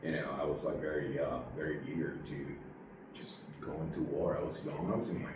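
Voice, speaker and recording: A man in his forties from Puerto Rico, an army veteran telling his story in a relaxed interview, halting and full of fillers. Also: a bass voice, heavy reverb, distant mic, background noise. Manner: rambling, halting, many fillers.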